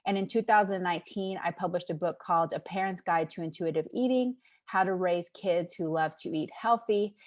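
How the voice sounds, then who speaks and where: A woman's voice: on the low side, brisk, hardly any pauses. A woman in her forties from Texas, a pediatrician giving a friendly, encouraging webinar presentation over a video call. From Texas, United States. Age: 40s. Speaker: pediatrician, guest speaker.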